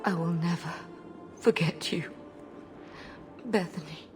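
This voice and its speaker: A woman's voice: lowish voice, slow. A woman playing a grieving, tender middle-aged mother in a fantasy game, anguished and pleading. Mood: anguished grief. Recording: loud music under the voice.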